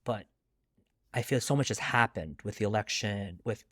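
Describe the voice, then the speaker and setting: A man's voice: lowish voice, normal speed, fairly bright tone, no room sound, studio quality. A middle-aged man from Long Island, New York, speaking candidly and reflectively as a guest in an interview, often adding asides. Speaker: guest author.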